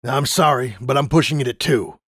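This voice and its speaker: A man's voice: mid-range voice, brisk, fairly bright tone, breathy edge, no room sound, studio quality. A man voicing a nervous, self-serving male griffin pilot in a pony storybook. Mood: reluctant.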